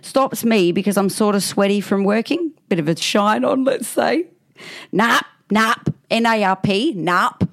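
A woman's voice: mid-range voice, unhurried. A woman co-hosting a chat podcast, reading out stories with frequent laughter and acting out bouncers. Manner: laughs often, acts out bouncers in gruff quotes. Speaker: podcast co-host.

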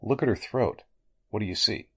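An actor playing a sinister, courteous elderly doctor in a 1940s-style radio mystery, speaking formally and deliberately with a husky voice. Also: male voice, fairly low pitch, pushed and bright. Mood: grave, courteous. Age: older. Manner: formal, deliberate, menacingly polite.

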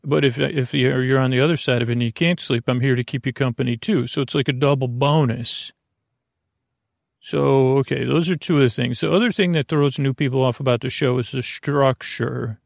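A man with a creaky voice hosting a sleep podcast, giving a soothing bedtime monologue that meanders and halts with pauses and repeated phrases. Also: medium pitch, dry recording, studio quality. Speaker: podcast host.

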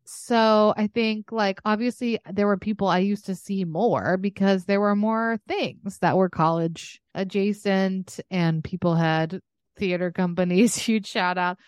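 An American woman in her thirties chatting casually as a podcast co-host, rambling and quick to laugh. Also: mid-range voice, steady tone, a little mellow, smooth, no room sound, clean studio sound. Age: 30s. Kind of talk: casual chat.

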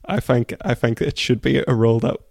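A man's voice: medium pitch, a little fast, vocal fry, a little mellow, dry recording. A man with a Derry, Northern Irish accent, co-hosting a book podcast in casual conversation. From Derry, Northern Ireland. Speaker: podcast co-host. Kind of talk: casual book club discussion.

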